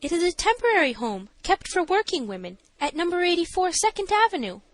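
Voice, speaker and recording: A woman's voice: very high-pitched, sing-song, fairly quick, dry recording, voice pushed up. A woman voicing a timid, earnest female assistant matron giving testimony in an audiobook. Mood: anxious, earnest. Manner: pale, trembling, earnest.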